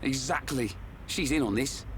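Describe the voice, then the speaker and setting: Man acting brash young game fighter, mid pitch, blunt, loyal, faint background ambience. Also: moderate pace, a little hiss or hum, natural voice. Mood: irritated. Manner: blunt, informal. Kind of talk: scripted game cutscene acting.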